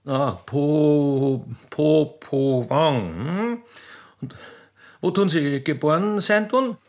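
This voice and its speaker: An actor playing a muddled, pedantic Austrian railway official who stammers officiously in a comic dialect radio play. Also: male voice, fairly high pitch, very slow, a little mellow. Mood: fussy, officious.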